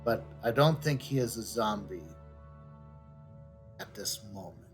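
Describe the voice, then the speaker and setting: A man giving casual playthrough commentary as a game streamer, thinking aloud with halting repetitions. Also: lowish voice, music in the background.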